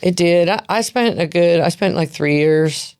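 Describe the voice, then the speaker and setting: A woman's voice: on the low side, normal speed, a little mellow, clear, resonant tone, dry recording, studio quality. A woman in her fifties from Seattle with an American accent, talking candidly and matter-of-factly as a podcast guest. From Seattle, United States. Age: 50s. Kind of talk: casual interview, personal storytelling.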